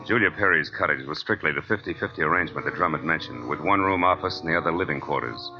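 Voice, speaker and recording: A man's voice: very deep voice, fast. An actor playing a cool, wry American private detective narrating his case in a 1940s radio noir style. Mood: observant. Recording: muffled old radio recording with music.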